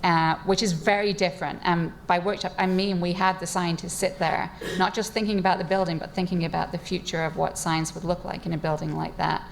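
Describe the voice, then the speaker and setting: A woman with a slightly low-pitched voice speaks as a panelist at a live event. She sounds enthusiastic and chatty, and she laughs easily as she talks about her research. Faint room noise sits behind her. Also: fairly even intonation, brisk, gravelly, hardly any pauses, poor audio. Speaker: neuroscientist panelist. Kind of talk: panel discussion talk. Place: event space with live audience.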